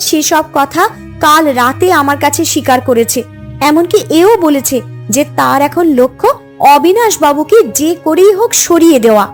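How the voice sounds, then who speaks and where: An actress playing a conscientious, distressed village wife in a cartoon, with a pleading, reproachful way of talking. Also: female voice, high voice, normal speed. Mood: troubled, confiding. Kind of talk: dubbed cartoon dialogue. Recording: music under the voice.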